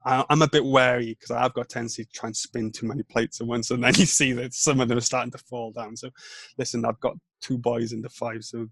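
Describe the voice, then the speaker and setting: A man's voice: mid-range voice, little pitch movement, a bit bright, dry recording. A Welsh man in his thirties, a podcast guest talking in a reflective way with many restarts. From Wales. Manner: reflective, halting with frequent restarts. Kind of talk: casual interview chat.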